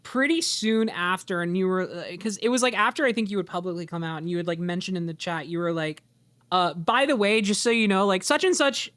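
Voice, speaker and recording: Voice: medium pitch, fairly bright tone, clean tone, dry recording. A commentary host chatting casually, explaining background and steering the group discussion.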